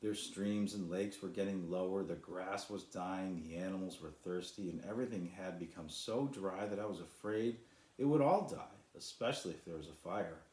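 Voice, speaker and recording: A man voicing a sad, weary, gentle female cloud in a children's storybook, apologetic and wistful. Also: sits low, moderate pace, lightly acted. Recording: roomy echo.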